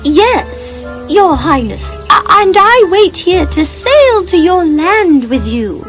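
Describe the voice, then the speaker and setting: A woman's voice: very animated, slow, exaggerated voice. A woman voicing a witch disguised as a young princess in a storybook, affected and dramatic. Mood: falsely sweet. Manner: affected, high-voiced, dramatic. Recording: music behind the voice.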